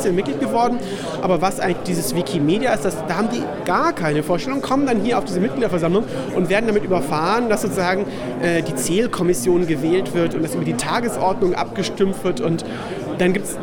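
A man being interviewed, thinking aloud in casual speech and restarting his sentences. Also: high-pitched. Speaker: association member interviewed. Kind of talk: casual spoken reflection. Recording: busy crowd noise behind.

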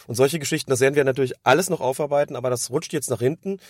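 A man, hoarse from a cold, giving long analytical explanations as a podcast co-host. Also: medium pitch, very fast, a little mellow, dry recording, clean studio sound. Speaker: podcast co-host discussing refereeing. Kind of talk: casual podcast chat.

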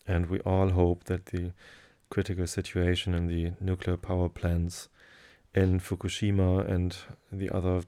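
A German-speaking man with a German accent, hosting a sleep podcast and reading aloud in a low, soothing, hesitant way. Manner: hesitant, many fillers, slow calm delivery.

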